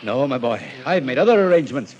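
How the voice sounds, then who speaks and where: An actor playing a genteel, eccentric elderly gentleman in an old-time radio drama, speaking in an urbane, theatrical way. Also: mid-range voice, big pitch swings, fast talker, muffled recording, a little hiss or hum, close to an everyday voice. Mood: urbane, persuasive. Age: older.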